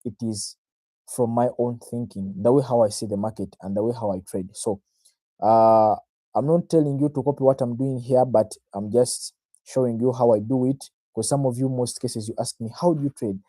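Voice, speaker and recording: Mellow, dark-voiced Ugandan man livestreaming. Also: mid-range voice, fairly even intonation, moderate pace, no room sound. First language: Luganda. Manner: self-assured, addresses viewers directly, repeats phrases, checks in with "right?". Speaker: trader, livestream host. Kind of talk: informal live market analysis, explaining to viewers.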